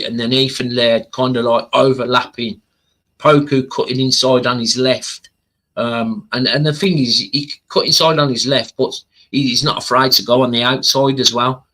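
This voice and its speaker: Bright-voiced man chatting football. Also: mid-range voice, rough recording. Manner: hesitant, repetitive, conversational. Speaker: football fan channel livestream host. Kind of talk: casual live football stats commentary.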